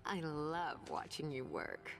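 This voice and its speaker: A woman playing a seductive, sadistic female demon in a video game, speaking in a breathy, teasing purr. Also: deep voice, big pitch swings, soft background music, exaggerated voice. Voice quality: breathy, whispery. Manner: slow, sultry, teasing purr. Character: seductive, sadistic female demon who delights in others' pain. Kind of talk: video game character voice lines.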